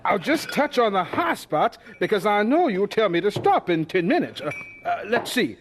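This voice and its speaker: An actor playing an elderly, humble Southern scientist in an audio drama, with a hesitant, courteous and earnest manner. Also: male voice, high voice, big pitch swings, moderate pace, a crowd in the background, background noise. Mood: fumbling, courteous.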